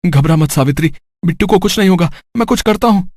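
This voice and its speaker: A man's voice: sits high, lively intonation, quick pace, a little mellow, a little hiss or hum. A man voicing a poor, anxious labourer and father in a cartoon story, speaking earnestly. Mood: anxious resolve. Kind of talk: Hindi cartoon story character voice.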